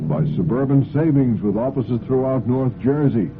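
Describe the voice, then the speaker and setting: A man's voice: medium pitch, moderate pace. Male station announcer, mid-range voice, scripted sponsor read on a narrow-band vintage radio broadcast. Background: music.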